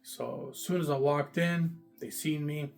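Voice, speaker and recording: A man's voice: steady tone, measured pace, gravelly, soft background music. A man in casual first-person storytelling to camera, talking loosely with lots of fillers and repeats, about his own time inside. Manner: loose and repetitive, many fillers, emphatic "boom". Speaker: vlogger recounting jail time.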